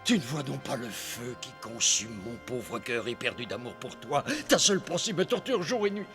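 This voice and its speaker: A man acts out a menacing priest eaten up by obsession, in a theatrical cartoon style. His mid-pitched voice moves widely between coaxing and threat. It rings in a long hall reverb over clear music. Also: lively intonation, normal speed, natural voice. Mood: tormented passion. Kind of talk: dramatic French cartoon dub acting.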